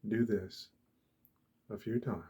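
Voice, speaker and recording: A man speaking softly and deliberately, with long pauses, guiding a meditation in a gentle ASMR style. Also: lowish voice, fairly even intonation, slightly rough. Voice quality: soft, hushed. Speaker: ASMR host, meditation guide. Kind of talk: soft-spoken ASMR guided meditation.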